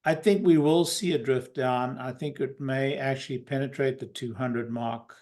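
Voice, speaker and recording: A male guest commentator with a mid-pitched voice chats about the markets. He feels his way through his points hesitantly, with a dry sense of humour. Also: moderate pace, darkish tone.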